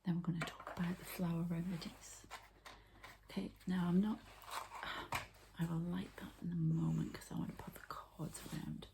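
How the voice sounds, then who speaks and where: A woman speaks in a breathy ASMR whisper with a low pitch and a gravelly creak. She takes her time, explaining each step in a hesitant, informal way. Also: level tone, normal speed, warm tone. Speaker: ASMR host and energy healer.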